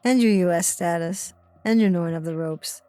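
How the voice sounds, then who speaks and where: A woman's voice: on the low side, normal speed, dark tone, no room sound, close to an everyday voice. Woman acting a cheerful Hungarian woman hacker, close and dry. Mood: keen. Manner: speaks in clipped, slightly broken English. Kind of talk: dramatized audio story reading.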